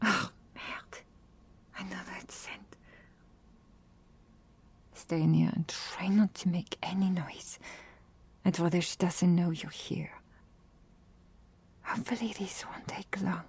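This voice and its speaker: A woman playing a gracious, guarded vampire who speaks formally and softly in an ASMR roleplay. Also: on the low side, takes it slowly, creaky, long pauses, breathy edge, heavily acted. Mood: hushed, alarmed. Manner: formal, soft-spoken, gently teasing.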